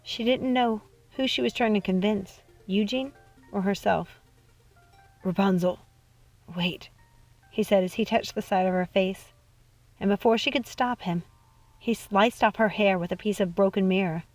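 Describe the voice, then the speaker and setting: A woman reading a dark storybook tale as a dramatic audiobook narrator, with music playing behind her. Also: mid-range voice, normal speed. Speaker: audiobook reader.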